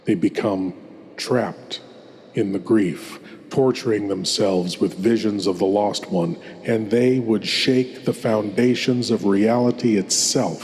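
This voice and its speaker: A man hosting a horror show, delivering scripted narration in a theatrical, ominous and deliberate manner. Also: on the low side, a little mellow, a little hiss or hum. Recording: long cavernous reverb.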